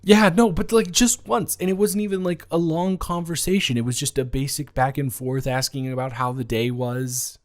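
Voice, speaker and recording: A young man playing a new classmate in a romance audio drama, speaking to the listener in a halting, flustered way, overwhelmed and stumbling over his words. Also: fairly high pitch, steady tone, faint music behind. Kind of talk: one-sided audio roleplay to the listener.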